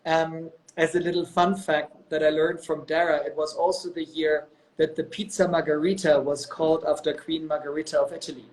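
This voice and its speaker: A man's voice: on the high side, flat delivery, fairly quick, hardly any pauses, low-quality recording. A man in his thirties talks over a video call with a slightly raised voice. He spins his stories in a loose, chatty way, padding them with plenty of fillers. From Germany. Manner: chatty and storytelling, lots of "you know" and "um". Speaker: guest, company executive. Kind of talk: casual interview on a live video call.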